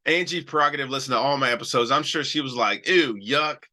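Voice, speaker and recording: A man's voice: mid-range voice, fast, bright, forceful tone. Chatty male trading host with a cold. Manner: loose and chatty, many restarts and "all right"s. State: has a cold. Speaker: stock trading show host. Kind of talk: casual live-stream chart talk.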